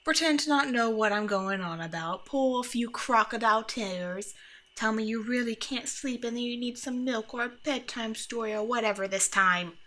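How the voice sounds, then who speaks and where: A woman's voice: a little high, normal speed, bright, forceful tone, low-quality recording. A woman playing a grumpy, sarcastic, bossy monster from under the bed in an ASMR roleplay. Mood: grumpy, threatening. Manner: exasperated, bossy, mock-threatening. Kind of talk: scripted ASMR roleplay, speaking to the listener.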